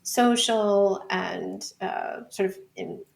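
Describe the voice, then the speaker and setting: A woman's voice: medium pitch, fairly even intonation, slow pace, creaky. A woman speaking as an expert guest in a conversational interview, explaining informally with lots of hedging words like "like", "sort of" and "you know". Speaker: interview guest, data researcher and book author. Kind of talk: conversational podcast interview answers.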